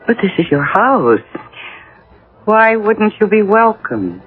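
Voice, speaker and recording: Slow, dreamy sweet young woman. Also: mid-range voice, very animated. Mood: curious. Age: young adult. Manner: dreamy, polite. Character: sweet, wistful young woman revisiting her childhood home. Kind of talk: 1980s radio drama acting. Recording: narrow-band vintage radio broadcast.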